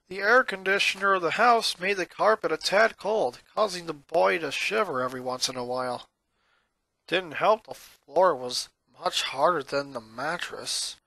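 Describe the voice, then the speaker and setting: A man's voice: high-pitched, vocal fry, bright, forceful tone, dry recording. A man reading a piece of fan fiction aloud as scripted story narration.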